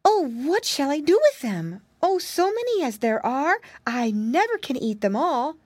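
A woman's voice: high-pitched, very animated, moderate pace, dry recording, pitched above the performer's own voice. A woman voicing a fretful, chatty little storybook girl with a plaintive, exclamatory way of talking.

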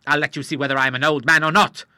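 Man doing a sixty-three-year-old admiral, blustering, indignant, high and sharp. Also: expressive, a little fast, no room sound, clean studio sound. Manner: loud, blustering and affronted. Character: indignant retired male admiral, sixty-three, hot-tempered.

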